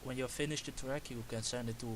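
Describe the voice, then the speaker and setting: A young man with a Dutch accent, Dutch his first language, explaining music production step by step in a halting, self-correcting way. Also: medium pitch, fairly even intonation, fairly bright tone. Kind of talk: screen-recorded production tutorial. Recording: hissy home recording.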